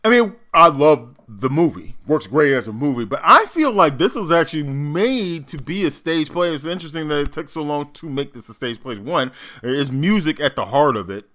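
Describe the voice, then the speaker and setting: A man giving casual, theatrical reaction commentary as an actor and host, animated and demonstrative. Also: a little high, normal speed.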